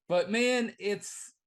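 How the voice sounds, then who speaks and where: A middle-aged man, a wrestling commentator and ring announcer, talking in a casual podcast interview with enthusiasm, often repeating words as he starts a phrase; his voice is not deep but it carries. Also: a bit bright, clean tone.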